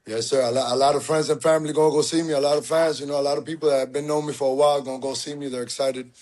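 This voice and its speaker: A man's voice: medium pitch, fairly even intonation, rapid-fire, a little mellow. A man from Cuba, a fighter guest on a podcast, answering in a humble but confident, easygoing way. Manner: humble, confident, often says "you get me" and "yes sir". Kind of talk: casual interview answers.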